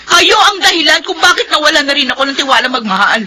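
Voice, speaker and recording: A woman's voice: high voice, sing-song, quick pace, sharp tone, full character voice. A Filipino woman playing a bitter, wounded grown daughter in an emotional, melodramatic radio drama. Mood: hurt reproach.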